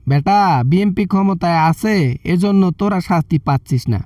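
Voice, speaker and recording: পুরুষের অভিনয়ে চাপা সুরের, ধমকানো বাংলাদেশি সাংসদ. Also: male voice, sits high, moderate pace, darkish tone, no room sound, studio quality, recorded from a distance, natural voice. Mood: righteous threatening. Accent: Bangladeshi. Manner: berating, repeatedly addressing the listener condescendingly. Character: scolding, threatening, self-righteous male member of parliament. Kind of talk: comic prank-call skit.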